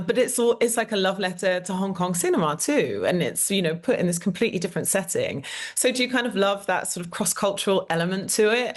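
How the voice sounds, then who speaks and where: A female interviewer from the UK who asks long, rambling questions packed with fillers. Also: on the low side. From United Kingdom.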